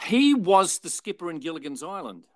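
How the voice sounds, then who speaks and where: An older Australian man, a former radio and television presenter, telling anecdotes in a relaxed interview and acting out the people he quotes. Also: high voice, moderate pace, no echo, clean studio sound. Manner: chatty, animated retelling with voiced quotes.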